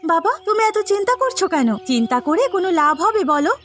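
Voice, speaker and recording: A woman playing a gentle, devoted young daughter from a poor village family in a cartoon story, speaking soothingly. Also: extremely high pitch, big pitch swings, fast, exaggerated voice. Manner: soothing, tender. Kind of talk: dubbed cartoon story acting.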